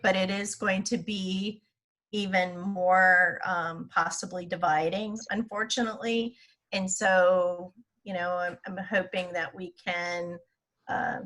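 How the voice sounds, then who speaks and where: A woman talking in a group discussion over a video call, with a low-pitched voice that sounds bright and forceful. She rambles in a chatty way and adds touches of humor. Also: poor audio. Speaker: panelist.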